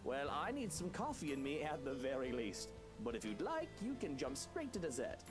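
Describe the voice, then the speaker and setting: Exasperated, indulgent godparent for a visual novel: low register, hugely varied intonation, dry teasing. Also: female voice, sing-song, moderate pace. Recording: music behind the voice.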